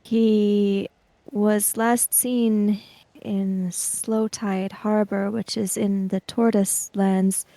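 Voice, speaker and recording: A woman playing a tabletop roleplaying game, speaking improvised dialogue in a measured, deliberate way with halting phrases. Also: medium pitch, warm tone, no echo, low-quality recording.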